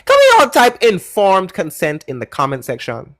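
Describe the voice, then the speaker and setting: A man hosts a live call-in debate, grilling his guest with pointed, repeated questions. His pitch swings widely as he hollers and giggles at his chat. The sound is dry and close, with no room around it. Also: normal speed, no echo. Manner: pressing, interrogating questions; lively, shouts and giggles at chat. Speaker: debate stream host.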